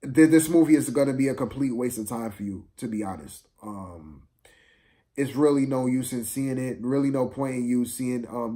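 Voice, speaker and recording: A man's voice: mid-range voice, darkish tone. A man giving a casual, unscripted film review to viewers, rambling and hesitant, often repeating himself.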